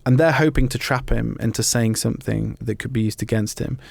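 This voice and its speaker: A man's voice: medium pitch, fairly quick, creaky, a little mellow, slightly rough, no echo. A man hosting a podcast, talking conversationally about faith in an earnest, explanatory way. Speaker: podcast host. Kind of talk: conversational talk on faith and football.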